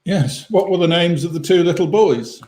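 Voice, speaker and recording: A man's voice: sits high, normal speed, darkish tone. A man co-hosting a casual online quiz, reading questions and chiming in with dry asides. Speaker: quiz co-host. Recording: echoey room.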